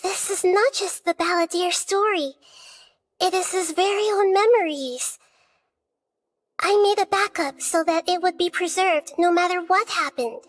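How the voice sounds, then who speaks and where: A woman playing a wise, gentle, childlike goddess in a video game, speaking in a measured, solemn storytelling manner. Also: very high-pitched. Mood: grave explaining. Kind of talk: scripted video game dialogue.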